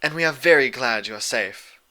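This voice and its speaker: A man voicing a serene, motherly female pony ruler with a gentle, gracious and measured manner. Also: mid-range voice, animated. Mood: reassuring.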